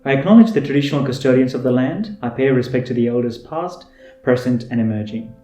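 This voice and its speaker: Tamil-born guy in his 30s, podcast host, earnest and measured, warm low tone. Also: reverberant, soft background music. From India. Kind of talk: scripted self-introduction.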